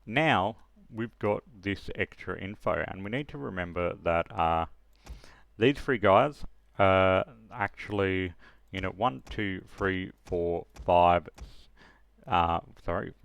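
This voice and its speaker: A man's voice: sits low, slow pace, creaky, fairly bright tone, smooth, no echo. A man presenting a casual screen-recorded tutorial, thinking aloud step by step with some hesitation. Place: close dry voice-over of a screen recording.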